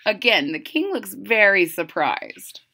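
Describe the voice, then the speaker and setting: Animated woman reading a picture book. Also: medium pitch, unhurried, gravelly. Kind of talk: expressive children's read-aloud. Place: small room at home.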